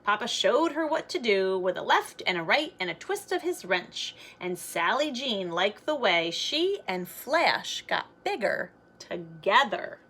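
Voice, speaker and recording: Woman, children's read-aloud, expressive sing-song, animated pitch, unhurried pace. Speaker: teacher reading a picture book aloud.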